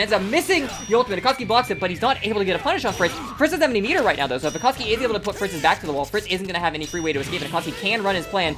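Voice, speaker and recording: A woman doing casual play-by-play commentary on a fighting game tournament, talking excitably with many "like" and "uh" fillers. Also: mid-range voice. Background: game music playing.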